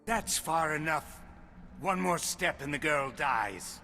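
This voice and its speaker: Sharp, menacing guard in a cavernous hall. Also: male voice, on the high side, animated, vocal fry, soft background music, exaggerated voice. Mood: threatening. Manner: threatening, forceful, slow declamation. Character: menacing male noble-house guard holding a hostage. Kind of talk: video game voice acting. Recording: long hall reverb, faint background noise.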